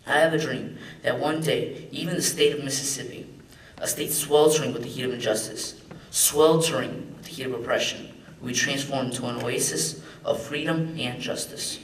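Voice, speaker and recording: A young man with a mid-pitched voice reads a famous speech aloud as a student reader. The sound is very echoey and distant from the microphone. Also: steady tone, moderate pace, a little hiss or hum. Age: young adult. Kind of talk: dramatic reading of a famous speech. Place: large echoey room at a public event. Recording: echoey hall, far from the mic.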